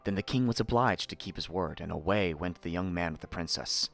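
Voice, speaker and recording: A man narrating a fairy tale in an expressive, theatrical storytelling manner. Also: deep voice, a little fast, gravelly, soft background music.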